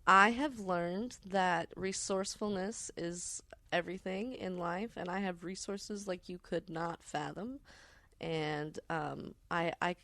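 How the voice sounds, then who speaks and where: A woman's voice: lowish voice, steady tone, takes it slowly, pushed and bright, dry recording. A woman co-hosting a podcast in casual, rambling chat, with a wry, self-deprecating manner. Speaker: podcast co-host.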